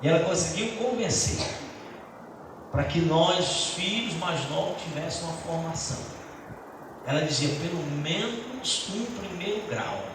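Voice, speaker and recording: A middle-aged man from Brazil, a pastor giving an earnest personal testimony in a church teaching talk, with halting restarts. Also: a little high. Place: church hall. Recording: echoey church hall from far off, noisy.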